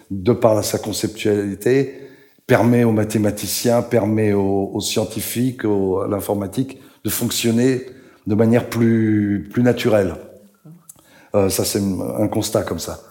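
Casting: older male panel guest, very low voice, earnest and halting. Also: mellow. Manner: earnest, halting, frequent fillers. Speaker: author guest. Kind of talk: panel conversation.

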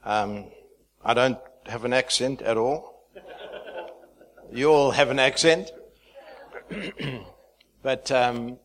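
A man in his fifties from Zimbabwe, a pastor speaking to a church, chatty and halting, with many fillers and restarts. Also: mid-range voice, steady tone, a bit bright. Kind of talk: sermon introduction.

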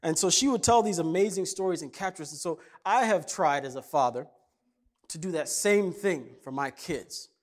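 A man's voice: fairly high pitch, normal speed. A man from Kenya preaching a casual, jokey sermon and telling stories. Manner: conversational, jokey, animated. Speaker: pastor.

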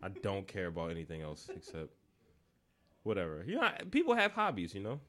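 A man's voice: deep voice, wildly swooping pitch, fairly quick, fairly bright tone. Laid-back, chatty man hosting a podcast. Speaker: podcast host. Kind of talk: casual chat. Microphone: desk microphone.

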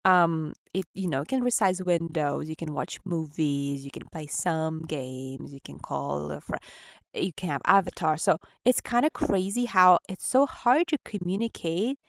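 A woman's voice: low-pitched, no echo. A woman with a Ukrainian accent co-hosting a podcast, chatting eagerly about tech and explaining at length. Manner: enthusiastic, explains at length with quick restarts. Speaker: podcast co-host. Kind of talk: casual tech chat on a live podcast.

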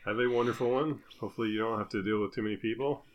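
A man's voice: on the low side, steady tone, rapid-fire, dull audio. A man, a podcast co-host, trading casual comedic banter in a teasing, wisecracking way.

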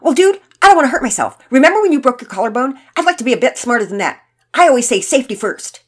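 A woman's voice: mid-range voice, big pitch swings, normal speed, pitched above the performer's own voice. A woman voicing a brash, boastful boy with slangy talk in a children's story. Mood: evasive bragging. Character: cocky, boastful boy skateboarder, loud and defensive.

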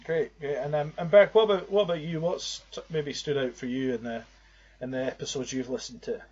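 A man's voice: a little high, fairly even intonation, fairly quick, darkish tone, slightly rough. A young man co-hosting a podcast, talking in an earnest, rambling way. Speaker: podcast co-host. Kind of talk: casual podcast chat. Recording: slightly muffled lo-fi audio.

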